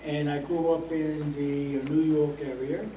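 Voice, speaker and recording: Older man, from Puerto Rico, hesitant, slightly high voice, echoey distant room. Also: level tone, a little slow, mellow. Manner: hesitant, many fillers. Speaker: new member introducing himself. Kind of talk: casual self-introduction. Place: meeting hall. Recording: echoey hall, distant noisy mic.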